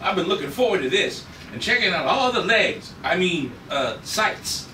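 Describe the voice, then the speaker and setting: A man's voice: high voice, big pitch swings, moderate pace, sharp tone, heavily acted. A man reading an eager, outspoken space ranger sidekick at a script table read, with a brash, informal manner. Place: room at a group table read. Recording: echoey noisy room, far from mic.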